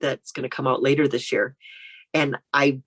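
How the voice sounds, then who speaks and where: A woman's voice: very deep voice, little pitch movement, normal speed, breathy edge. An American woman speaking as a guest author, chatting casually and telling anecdotes in an interview.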